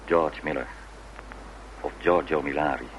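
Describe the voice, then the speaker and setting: An actor playing a cool, terse private detective in a radio play, deadpan and clipped. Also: male voice, extremely low pitch, measured pace. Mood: cool, guarded. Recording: noisy old radio drama recording.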